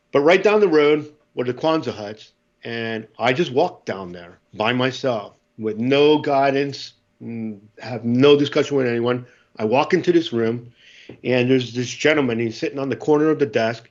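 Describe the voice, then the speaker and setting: Brief: middle-aged male veteran, Spanish first language, lively storyteller, mid pitch, slightly dull lo-fi recording. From United States. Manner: animated storyteller, acts out quoted dialogue. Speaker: guest, former Marine. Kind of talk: storytelling in a podcast interview.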